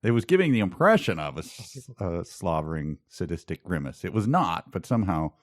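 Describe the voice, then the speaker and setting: Wry low-voiced man on a podcast. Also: very animated, brisk. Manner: wry, mock-serious reading with joking asides. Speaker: podcast co-host. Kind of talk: casual comic book discussion.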